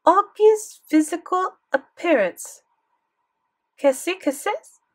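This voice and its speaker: A woman doing an elderly French grandmother in an audiobook, thick French accent, high and lilting, pushy and questioning. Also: expressive, slow pace, heavily acted. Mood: insistent. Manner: inquisitive, imperious. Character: elderly French grandmother, doting but commanding.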